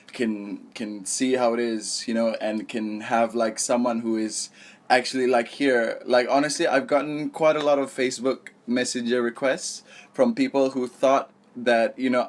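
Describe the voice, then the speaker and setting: A young man with a mid-range voice chats informally to his viewers in a storytime vlog. He rambles along in a conversational way, correcting himself and padding his sentences with small filler phrases. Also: medium pitch. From born in Malaysia, raised abroad. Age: young adult. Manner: rambling, informal, self-correcting, peppered with "you know" and "like". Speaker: vlogger. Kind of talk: casual storytime vlog chat.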